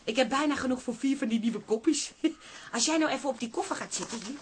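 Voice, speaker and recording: An actress playing a brisk, bossy café owner's wife in a radio comedy drama, with a no-nonsense way of talking. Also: female voice, high voice, a little hiss or hum, natural voice. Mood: firm, exasperated. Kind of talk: naturalistic Dutch radio comedy-drama acting.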